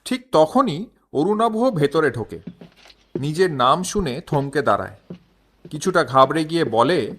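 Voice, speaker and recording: A man's voice: a little high, very animated, unhurried, long pauses, noisy background. A man narrating a crime thriller audio drama in a dramatic, suspenseful style. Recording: music bed under the voice.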